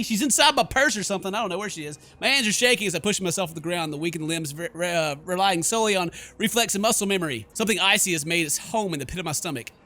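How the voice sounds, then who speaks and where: High-pitched, animated male gaming streamer. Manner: theatrical, excitable, jokey. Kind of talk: horror game playthrough, dramatic read-aloud and casual commentary. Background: game music.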